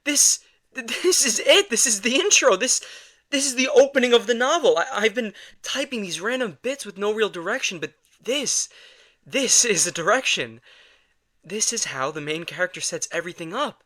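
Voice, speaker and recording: A man's voice: sits high, expressive, moderate pace, pushed and bright, no room sound, exaggerated voice. An actor playing an anxious, brooding male vampire writer in an intimate audio drama, with a hesitant, stammering delivery. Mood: flustered, excited.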